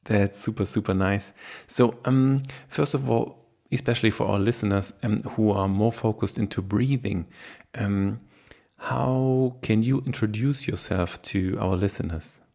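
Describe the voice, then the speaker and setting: Low, creaky-voiced man hosting. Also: unhurried, mellow. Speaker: podcast host. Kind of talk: conversational interview. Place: online call.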